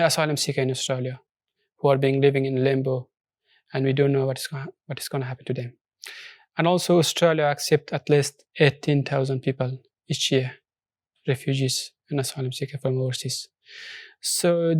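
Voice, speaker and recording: A man from Afghanistan whose first language is Persian, speaking in a studio interview with earnest, halting English full of restarts. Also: mid-range voice, dry recording. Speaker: social enterprise founder.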